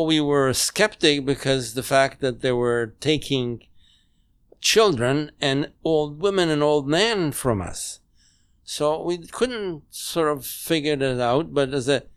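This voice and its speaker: Guest, man in his 90s, German native, measured halting recall, mid-pitched and dry. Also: clear, resonant tone, no echo. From Poland. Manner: halting, measured, with frequent restarts. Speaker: interview guest. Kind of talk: recounting memories in conversation. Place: home dining room.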